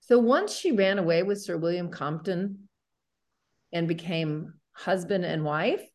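A woman's voice: fairly low pitch, expressive, a little slow, darkish tone. A woman co-hosting an educational discussion, explaining things in an earnest manner. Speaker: show co-host.

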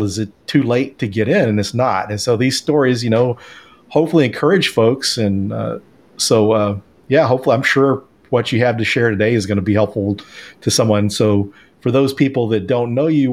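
A man hosting an interview podcast, talking in an encouraging conversational way. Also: mid-range voice. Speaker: podcast host.

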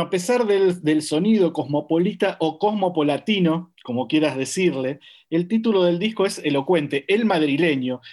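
A man's voice: fairly high pitch, a little mellow. A man hosting an interview podcast, asking long, carefully framed questions. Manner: articulate, long framing questions. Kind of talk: interview questions and scripted intro.

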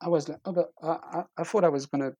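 A middle-aged man with a French accent telling personal stories in casual conversation, haltingly, often repeating words as he searches for them. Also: on the high side, fairly even intonation, dark tone. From eastern France. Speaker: interview guest.

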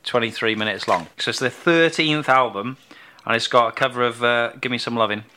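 A man talking through new albums in a loose, rambling way, often restarting his sentences. His voice is very bright and sits at a middle pitch. He livens up noticeably when a band excites him. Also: a little fast, slight background noise. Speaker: co-host of a music show. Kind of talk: casual chat about new records.

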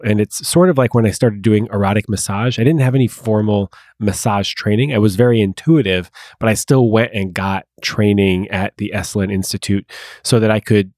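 A man's voice: on the low side, a little mellow, no echo, studio quality. A man speaking conversationally as a podcast host and love coach, encouraging and direct.

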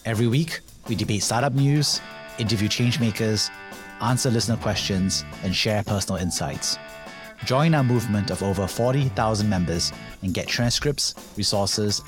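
A man hosting a podcast, greeting a guest and asking friendly questions after reading a scripted intro. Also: lowish voice. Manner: upbeat, friendly interviewer. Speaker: podcast host.

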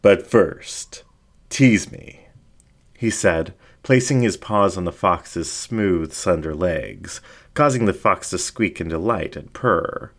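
Low, slow, animated male storyteller. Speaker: story narrator. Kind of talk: dramatic storybook narration.

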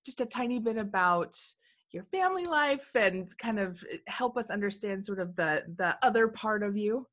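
This is a woman in her forties who hosts a podcast interview. She talks conversationally and laughs often, and her pitch moves up and down a lot. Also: lively intonation, normal speed, a bit bright. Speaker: podcast host. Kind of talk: casual interview chat.

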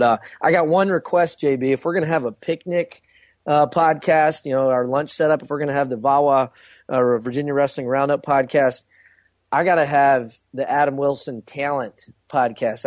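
A man who runs a wrestling association, chatting casually on a podcast and often pausing, restarting and filling gaps. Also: medium pitch, darkish tone, no room sound. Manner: halting, many fillers and restarts.

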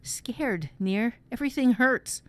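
A woman plays a suffering, frightened boy in a fantasy storybook. The speech is pitched a little above the reader's own, pleading in broken, short phrases. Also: expressive, slow. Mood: agonised.

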